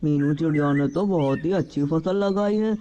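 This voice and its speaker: A man's voice: a little high, moderate pace, dark tone, muffled recording, natural voice. A man voicing a lazy, greedy, scheming male crow in a children's cartoon, exclaiming and repeating words as he talks to himself. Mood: smug contentment. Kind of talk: children's cartoon story dialogue.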